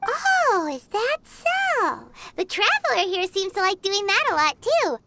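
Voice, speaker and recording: A woman voicing a tiny, excitable fairy companion girl who chatters and refers to herself in the third person, in video game voice acting. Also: very high voice, unhurried, noisy background, exaggerated voice. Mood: excited. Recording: game audio with loud music.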